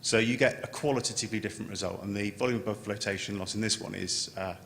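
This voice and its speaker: A man giving an unscripted technical conference presentation as a scientist, halting and often repeating words as he starts a phrase. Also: steady tone, gravelly, a bit bright. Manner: halting, with stammered word repetitions. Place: large conference room. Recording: echoey hall, noticeable background noise, low rumble.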